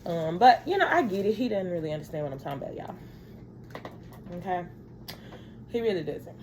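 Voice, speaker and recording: A woman in her thirties talks to camera in a loose, rambling vlog chat, drifting from thought to thought and calling her viewers y'all. Her voice sits a little low for a woman, and a faint hiss of background noise runs under it. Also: animated, a little fast. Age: 30s. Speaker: vlogger.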